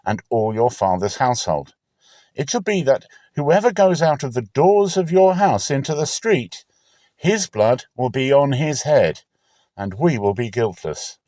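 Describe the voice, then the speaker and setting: A man's voice: mid-range voice, warm tone, no room sound, pitched above the performer's own voice, lightly acted. A man voicing stern ancient spies who speak firmly and formally in a dramatic scripture reading. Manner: firm, solemn, formal. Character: two stern ancient Israelite spies swearing an oath.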